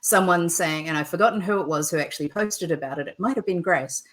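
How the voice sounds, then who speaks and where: A woman's voice: lowish voice, quick pace, a bit bright. A woman, a game designer chatting casually in an interview, with lots of "um" fillers and easy laughter. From New Zealand.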